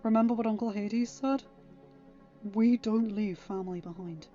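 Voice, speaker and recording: A woman voicing a loyal, caring teenage girl co-captain who talks softly but insistently in a story. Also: medium pitch, normal speed, dull audio, soft background music, natural voice. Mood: gently insistent. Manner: soft, gently insistent.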